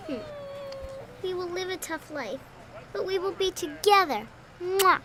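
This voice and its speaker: Woman as a very high-voiced little homeless girl. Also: expressive, unhurried, noisy background. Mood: tender. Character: little homeless girl begging, sweet, pitiful. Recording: voice over music.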